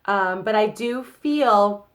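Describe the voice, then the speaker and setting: A young woman with a slightly nasal, stuffy voice from a cold talks her viewers through a card reading. Her delivery is loose and conversational, full of fillers and "okay?" checks. Her pitch sits in an everyday middle range. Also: slow pace. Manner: chatty, many fillers and tag questions. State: has a cold. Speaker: psychic card reader. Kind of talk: casual tarot-style reading to camera.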